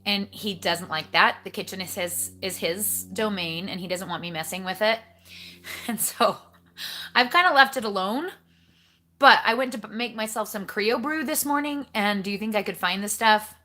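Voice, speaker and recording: A woman's voice: medium pitch, normal speed, bright, forceful tone. A woman hosting a casual livestream from home, chatting with viewers and greeting them by name in a bubbly, rambling way. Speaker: livestream host. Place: home kitchen.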